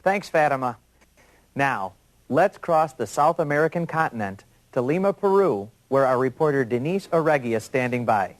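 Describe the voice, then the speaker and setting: A man's voice: medium pitch, lively intonation, normal speed, dry recording. A man presenting a scripted television report as the anchor, handing over to reporters. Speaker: show anchor.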